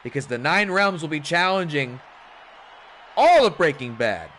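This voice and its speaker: Hoarse, animated male game commentator. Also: on the high side, measured pace, a little hiss or hum. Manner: animated, chatty hype. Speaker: streamer commentating a wrestling game. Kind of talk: play-by-play commentary.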